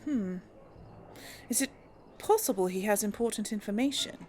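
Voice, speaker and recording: A woman's voice: medium pitch, lively intonation, moderate pace, a little mellow. A woman playing a video game, chatting casually and laughing often, sometimes speaking as her character. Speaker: game streamer. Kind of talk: casual let's-play commentary with in-character lines.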